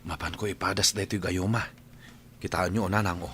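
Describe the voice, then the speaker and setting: A man's voice: deep voice, steady tone, fast, a bit bright, husky. An actor playing a stubborn, mournful, lovesick young man in a radio drama, with an Ilocano accent. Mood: hopeful, eager.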